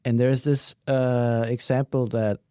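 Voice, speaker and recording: A man's voice: mid-range voice, flat delivery, measured pace, dark tone, clean tone, no room sound, clean studio sound. A man in a casual podcast discussion, speaking in a musing, tentative way. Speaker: podcast panelist.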